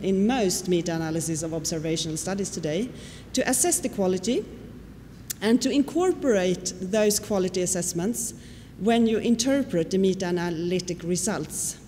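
A woman whose first language is Swedish, giving a conference lecture and often pausing on fillers. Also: mid-range voice, warm tone. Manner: explanatory, with frequent fillers and questions to the audience. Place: lecture hall. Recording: reverberant hall with faint noise.

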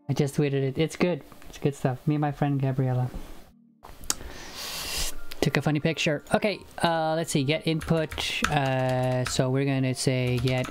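A man's voice: mid-range voice, fairly even intonation, measured pace, soft background music. A young man whose first language is Icelandic, live-streaming programming and talking it through with many fillers and restarts, on a headset mic at home. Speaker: programming livestreamer. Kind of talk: casual live coding chat. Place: home room, indoors.

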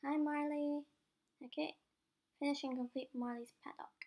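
A young woman with a cold and a croaky voice, chatting casually and mocking herself while playing a game as host of her channel, sometimes dropping to a whisper. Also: high voice, flat delivery, normal speed, mellow.

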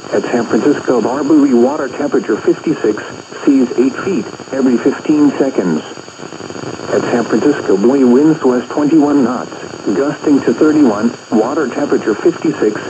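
Synthetic male weather radio announcer, muffled and noisy. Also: mid-range voice. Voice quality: synthetic text-to-speech. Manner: measured, impersonal, segmented phrasing. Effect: weather radio broadcast. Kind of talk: scripted weather bulletin reading.

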